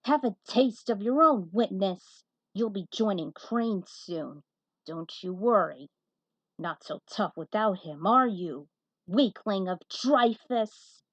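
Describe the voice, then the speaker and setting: A woman's voice: medium pitch, animated, slow pace, no room sound. Menacing, gloating villain played by a woman reader, taunting delivery, storybook drama, dry lo-fi sound. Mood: gloating menace. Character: gloating, violent villain taunting a weaker foe. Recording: dull, muffled lo-fi audio.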